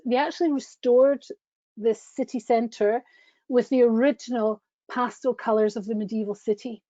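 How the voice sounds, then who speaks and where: A woman's voice: on the high side, dark tone, no room sound. Gushing woman on a lo-fi video call. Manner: gushing, chatty, with frequent restarts. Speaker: tour company presenter. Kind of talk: enthusiastic travel presentation over slides. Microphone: webcam on a video call. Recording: dull lo-fi video call audio.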